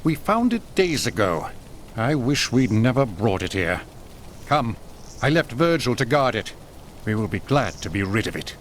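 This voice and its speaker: Man doing a tired villager, rueful, animated pitch. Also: normal speed, a little hiss or hum, lightly acted. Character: weary male villager guarding a cursed relic.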